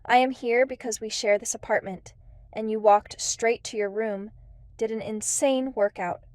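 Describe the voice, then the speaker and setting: A woman's voice: fairly high pitch, steady tone, normal speed, darkish tone, no echo, clean studio sound, close to an everyday voice. A woman playing a confident, cheeky spy in an audio drama, matter-of-fact and playful.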